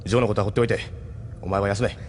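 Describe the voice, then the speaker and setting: A man's voice: fairly low pitch, steady tone, racing, slight background noise, natural voice. An actor playing a pragmatic, reassuring male ship captain with a blunt, commanding manner in an audio drama. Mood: firm, reassuring. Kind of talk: Japanese audio drama acting.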